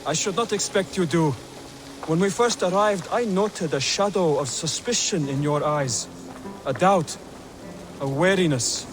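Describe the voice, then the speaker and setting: An actor playing an earnest, courteous young male assassin acolyte in a video game, speaking formally and with measured solemnity. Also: a little high, music in the background, lightly acted. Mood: measured, weary. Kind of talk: dramatic video game acting.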